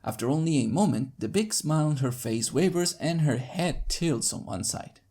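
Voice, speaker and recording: A woman reading a story as narrator, with an expressive, dramatic storytelling delivery. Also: sits low, moderate pace, breathy edge. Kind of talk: expressive scripted fan-fiction narration.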